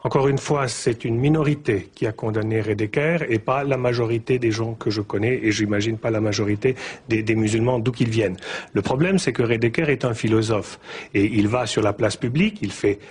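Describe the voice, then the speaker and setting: A man speaking as a guest in a televised debate, making a measured, emphatic argument point by point. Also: mid-range voice, darkish tone, husky.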